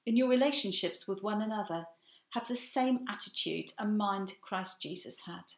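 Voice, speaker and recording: Gravelly older English woman preaching. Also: mid-range voice. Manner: measured, earnest delivery. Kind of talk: sermon. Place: home room with bookshelf, indoors. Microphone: webcam, seated facing camera. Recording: echoey room.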